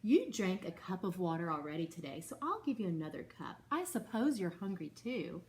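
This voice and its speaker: A woman's voice: lowish voice, sing-song, fairly quick, darkish tone. A woman teacher reading a picture book aloud to her second-grade class and stopping to explain it patiently.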